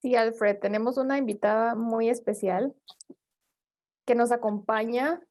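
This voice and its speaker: A woman co-hosting an online event over a video call, reading a speaker's introduction in a steady, announcer-like way. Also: on the high side, unhurried. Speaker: event co-host.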